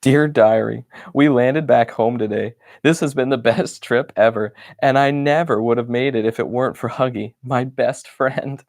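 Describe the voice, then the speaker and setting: A man's voice: mid-range voice, normal speed, hardly any pauses, darkish tone, dry recording, studio quality, natural voice. A man doing a bubbly wooden stick. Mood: warm. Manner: bubbly and enthusiastic. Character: cheerful, happy-go-lucky wooden stick, male.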